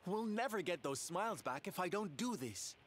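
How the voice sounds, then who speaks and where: A man's voice: high voice, lively intonation, moderate pace, fairly bright tone, a little hiss or hum. An actor voicing a flamboyant, theatrical male entertainer in a video game, playful and teasing in a dramatic, showy way. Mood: earnest, resolute.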